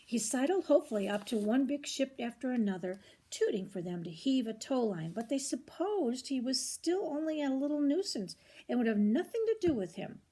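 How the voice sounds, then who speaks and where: Husky older woman reading a children's story. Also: high-pitched, normal speed. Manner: expressive, grandmotherly, commenting on the pictures. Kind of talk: read-aloud storytelling with short asides.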